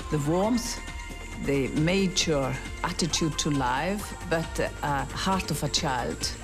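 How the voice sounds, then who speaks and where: Slow-paced admiring commentator, mid pitch. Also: music in the background. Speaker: documentary commentator.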